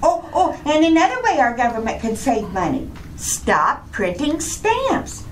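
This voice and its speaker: A scatterbrained, cheerful wife acted by a woman in an old-time radio comedy. Her high voice lilts and swoops with naive earnestness, over some background noise. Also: very animated, unhurried. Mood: earnest, ditzy.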